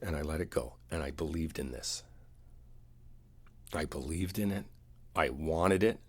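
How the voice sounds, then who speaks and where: A man playing an earnest, wounded boyfriend in an intimate roleplay, speaking in a halting, confiding way. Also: rumbling low, breathy edge, exaggerated voice. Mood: earnest, vulnerable.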